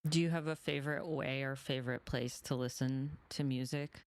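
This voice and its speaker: A man's voice: mid-range voice, fairly even intonation, normal speed, smooth, no room sound. A man hosting a podcast, asking a guest polite, curious questions. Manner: polite, inquisitive. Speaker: podcast host. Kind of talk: interview questions.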